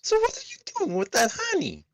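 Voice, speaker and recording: A man's voice: extremely high pitch, wildly swooping pitch, fairly quick, a bit bright, dry recording, heavily acted. A man voicing a laid-back talking cat who coolly prods his friend in an amateur comedy story. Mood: wry.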